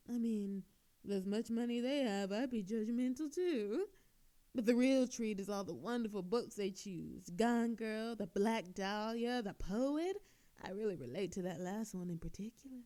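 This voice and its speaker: A woman's voice: on the high side, dark tone, close to an everyday voice. A woman playing an obnoxiously flirtatious, cocky thief in a teasing, theatrical audio roleplay with a sultry drawl.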